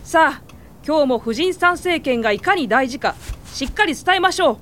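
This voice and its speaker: An actress playing a dignified, encouraging women's suffrage leader in a Japanese radio drama, with formal, assured delivery. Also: female voice, sits high, expressive, normal speed, fairly bright tone.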